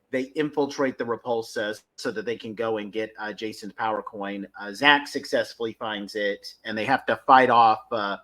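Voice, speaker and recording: A man's voice: medium pitch, a bit bright. A man hosting a fan podcast, casually recapping and reviewing episodes with enthusiasm. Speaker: podcast host.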